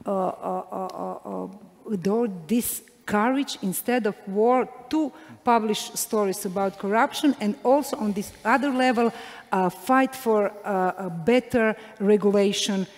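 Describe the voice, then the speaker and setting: A woman's voice: medium pitch, measured pace, a little mellow. Woman on a panel, lots of uhs, hesitant, echoey big hall sound. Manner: hesitant, many filler sounds. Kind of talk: panel discussion. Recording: reverberant hall.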